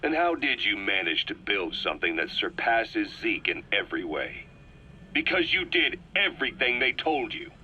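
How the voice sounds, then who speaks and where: An actor playing a cold, calculating military interrogator in a video game cutscene, speaking with raspy, deliberate menace as he accuses. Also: on the low side, big pitch swings, bright, forceful tone, dull audio, full character voice. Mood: pointed accusation.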